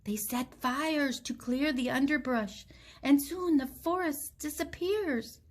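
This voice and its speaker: A woman's voice: high voice, slow pace, voice pushed up. A woman voicing an alarmed, scolding toucan from a children's storybook in a squawky voice. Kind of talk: children's storybook read-aloud.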